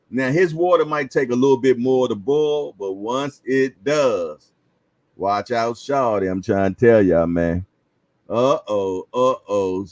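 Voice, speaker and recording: A man in his fifties hosts a boxing livestream in a slow, unhurried drawl. He chuckles often, repeats himself and trades slangy banter with his viewers. His voice sounds close and dry, with no room echo. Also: medium pitch. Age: 50s. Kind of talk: casual livestream chat reading viewer comments.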